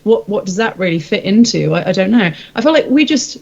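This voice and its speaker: A woman's voice: mid-range voice, animated, a little fast, vocal fry, darkish tone, dull audio. A woman musician chatting as an interview guest in a casual, informal, self-deprecating way.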